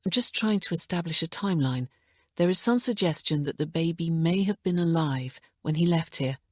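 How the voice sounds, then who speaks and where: A woman voicing a calm, sensitive female detective who speaks gently and patiently. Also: low-pitched, normal speed, breathy edge, no room sound, clean studio sound. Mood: gentle.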